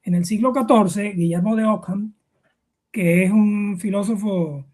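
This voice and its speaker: A man's voice: sits high, measured pace, a little mellow. A middle-aged man with a Venezuelan accent, explaining things informally as an interview guest, hesitant and full of fillers and repeated words.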